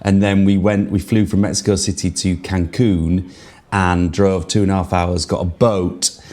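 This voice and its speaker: A man's voice: low-pitched, little pitch movement, moderate pace, a little mellow. A man co-hosting a podcast, chatting enthusiastically and laughing often. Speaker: podcast co-host. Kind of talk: casual chat. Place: remote call from abroad.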